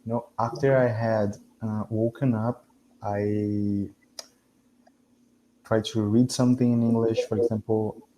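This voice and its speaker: A man from Brazil whose first language is Portuguese, talking with his tutor over a video call. He is earnest and agreeable, with thoughtful pauses. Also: fairly low pitch, flat delivery, warm tone, a little hiss or hum, low-quality recording. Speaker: language student. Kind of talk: casual conversation lesson.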